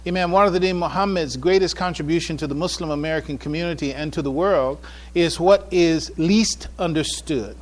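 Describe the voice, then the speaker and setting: A man with a slightly raised pitch addresses an audience as a presenter. He speaks haltingly, often doubling back on his phrases, in the manner of someone presenting a paper at a public event. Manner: halting, repeats and restarts phrases, trailing asides. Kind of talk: public address, informal preamble then reading a paper aloud.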